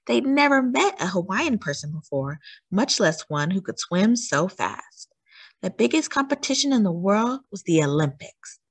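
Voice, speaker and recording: A woman reading a children's storybook aloud as a storytime host, with expressive, emphatic delivery. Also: medium pitch, breathy edge, no room sound. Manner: animated, emphatic delivery with drawn-out words.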